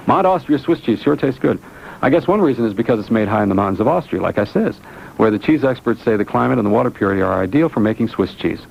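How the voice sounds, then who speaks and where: A man's voice: medium pitch, gravelly. A man hosting a radio call-in show with casual, wisecracking banter and live ad reads, on an old AM broadcast recording. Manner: joking, wisecracking. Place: radio studio. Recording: dull lo-fi AM radio recording, faint noise.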